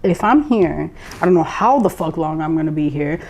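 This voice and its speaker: Young female guest from Lawrence, Massachusetts; animated, blunt, slangy storyteller. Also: deep voice, moderate pace. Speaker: guest, former radio co-host. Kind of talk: casual chat.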